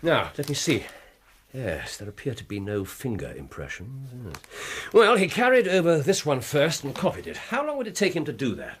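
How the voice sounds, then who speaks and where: An actor playing a keen, commanding Victorian English gentleman detective in a radio drama, precise and theatrical in his delivery. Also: mid-range voice, huge pitch swings, moderate pace, slightly rough. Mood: brisk deduction. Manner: theatrical, precise, swinging from exclamation to murmur. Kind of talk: BBC radio drama acting.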